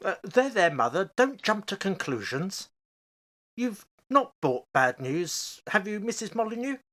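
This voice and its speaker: A woman's voice: medium pitch, very animated, unhurried, a bit bright. A woman playing a mild, homely working-class old husband and father in a radio play, speaking hesitantly. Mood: anxious.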